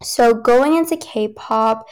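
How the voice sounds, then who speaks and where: Casting: young woman, laid-back slangy guest, a bit high voice, laughs a lot, cantonese native. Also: slow pace. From United States. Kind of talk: casual chat.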